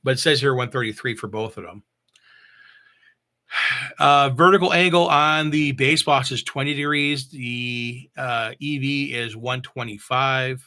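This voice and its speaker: A man giving casual, unscripted product talk as a gear reviewer, halting and repeating himself as he thinks aloud. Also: medium pitch, sharp tone. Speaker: mobile DJ reviewing audio gear.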